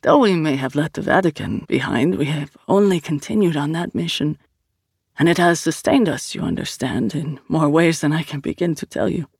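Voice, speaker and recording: An audiobook narrator voicing a serene male acolyte who speaks haltingly and philosophically, searching for words. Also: medium pitch, animated, vocal fry, a little mellow, husky, dry recording, clean studio sound. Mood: reverent. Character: serene, hesitant otherworldly religious acolyte, a male. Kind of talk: audiobook character dialogue.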